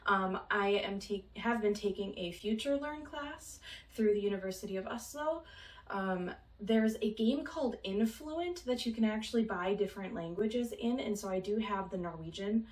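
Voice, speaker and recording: A woman's voice: medium pitch, moderate pace, recorded from a distance. A young woman from Minnesota chatting casually in a vlog, rambling with many ums and small laughs. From Minnesota, United States. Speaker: vlogger. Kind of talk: casual chat. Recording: roomy, from off the mic.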